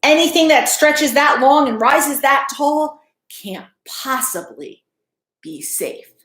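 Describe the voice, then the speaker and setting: A woman's voice: high voice, very animated, slow, fairly bright tone, voice pushed up, exaggerated voice. Woman reading as a stubborn, forbidding storybook father. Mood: stern, forbidding. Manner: declamatory, forbidding. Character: stubborn, fearful father declaring a bridge unsafe. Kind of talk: storybook character in a read-aloud. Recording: echoey room.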